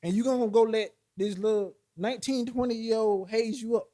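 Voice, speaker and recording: A man in his forties chatting animatedly with friends, arguing his point through examples and quick restarts. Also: high-pitched, fairly even intonation, mellow. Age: 40s. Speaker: podcast host. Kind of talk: casual chat.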